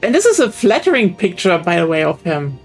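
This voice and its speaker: A woman's voice: on the low side, sing-song, a little fast, breathy edge, faint music behind. A woman who learned English as a second language, chatting casually and teasing her friends during a game session. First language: not English. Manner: sarcastic and teasing, laughs often. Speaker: co-player in a streamed game session. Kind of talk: casual chat.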